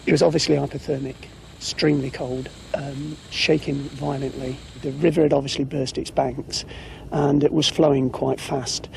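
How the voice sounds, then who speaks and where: A man's voice: medium pitch, flat delivery, normal speed, vocal fry, a little mellow, a little hiss or hum, low-quality recording. A man, a rescue crew member, giving a matter-of-fact interview account. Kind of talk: news interview recollection.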